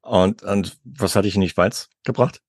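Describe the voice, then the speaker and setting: A man hosting a podcast, chatting casually and relaxed with a guest, using many fillers. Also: on the low side, normal speed, breathy edge, dry recording. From Germany. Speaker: podcast host. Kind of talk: casual interview chat. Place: home in France.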